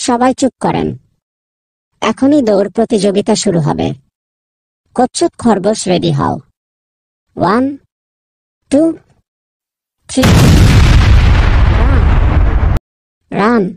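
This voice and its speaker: A fussy goat in a cartoon, his voice gravelly and raspy and breathy at the edges. He asks permission in a hesitant, roundabout way and then barks out orders to the crowd, as a man acts him out. The sound is dry and close. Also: high-pitched, animated, slow pace, pauses often, darkish tone, no room sound, heavily acted. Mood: commanding. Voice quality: gravelly, husky. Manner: hesitant, polite, repeats itself. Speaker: race starter. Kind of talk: cartoon voice acting.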